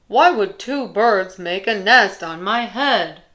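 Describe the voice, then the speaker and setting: A woman's voice: mid-range voice, lively intonation, slow, bright, forceful tone. A woman voicing an anxious, easily alarmed male elephant with dramatic, emphatic delivery in a children's picture book. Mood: incredulous, afraid.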